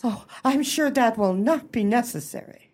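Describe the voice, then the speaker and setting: Radio drama: imperious society hostess played by a woman, precise diction, very lively range. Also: a little high, normal speed. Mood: haughty. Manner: pompous, precise, formal diction. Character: haughty society hostess, bluffing book club leader. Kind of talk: radio play acting.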